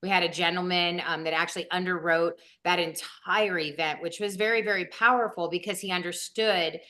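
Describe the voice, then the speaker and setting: A woman's voice: lowish voice, fairly even intonation, brisk, pushed and bright. A woman in her fifties hosting a show over a video call, introducing her guest with rambling, enthusiastic praise. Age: 50s. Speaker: host.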